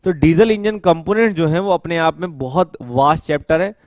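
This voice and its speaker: A man in his thirties teaching an exam-prep class at a whiteboard, explaining step by step and slowly repeating key technical terms as he writes, with English terms mixed into his speech. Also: a little high, a little mellow, no room sound, studio quality. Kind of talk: whiteboard lecture. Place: studio with whiteboard, indoors. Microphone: headset mic.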